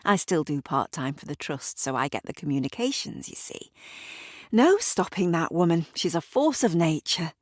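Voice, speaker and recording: A woman's voice: low voice, big pitch swings, moderate pace, no echo, clean studio sound. A woman voicing a chatty, nosy village woman who is eager to pass on gossip.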